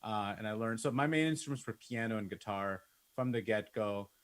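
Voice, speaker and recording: A man's voice: lowish voice, brisk. A man in his thirties from Bangladesh, a musician talking informally and conversationally to an online class. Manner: informal, conversational, peppered with like and you know. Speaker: musician and music producer giving a masterclass. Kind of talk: casual autobiographical talk to an online class. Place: indoors on a video call.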